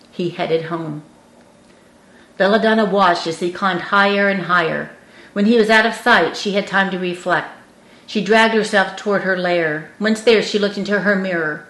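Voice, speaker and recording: A woman's voice: medium pitch, a bit bright. A woman reading a scripted story aloud as an audiobook narrator. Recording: roomy, echoing room.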